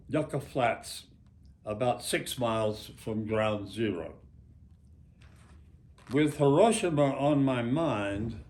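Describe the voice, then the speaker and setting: Really old american guy, 90s, author reading his memoir out loud, super slow and deliberate, dramatic pauses. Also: medium pitch. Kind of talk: reading own memoir aloud, literary narration.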